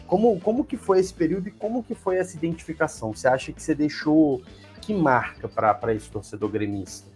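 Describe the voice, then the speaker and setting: A man's voice: on the high side, brisk, a little mellow, faint music behind. A man with a Brazilian accent hosting a casual sports interview, asking questions in an informal, conversational way. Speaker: interviewer and host.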